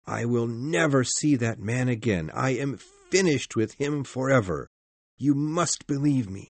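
A man acting a grave, formal gentleman in a gothic mystery audiobook, speaking in a deliberate, earnest way. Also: mid-range voice, animated, dry recording. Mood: earnest, insistent. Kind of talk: dramatised audiobook dialogue.

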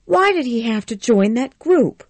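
A woman's voice: fairly high pitch, animated, measured pace, no echo, pitched above the performer's own voice. A woman reading a story aloud, voicing a headstrong young Southern belle who frets and pleads.

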